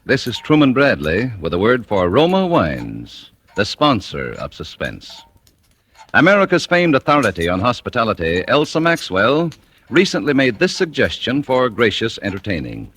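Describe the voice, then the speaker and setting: A man's voice: mid-range voice, wildly swooping pitch, moderate pace, a bit bright, breathy edge. A man announcing sponsor messages in a polished, emphatic and formal 1940s radio style. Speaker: commercial announcer. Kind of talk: 1940s radio advertising read.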